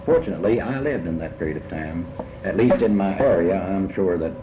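Older man, deep voice, halting reflective interview speech, repeated words, muffled sound, clear background noise. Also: expressive. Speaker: interviewee recalling early life. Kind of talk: reflective oral history interview. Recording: muffled, noisy, echoey room recording.

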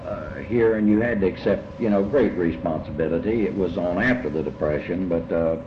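Deep-voiced older man, muffled echoey recording. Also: background noise. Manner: halting, often repeats words and rephrases. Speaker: interviewee recalling early life. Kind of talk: reflective oral history interview.

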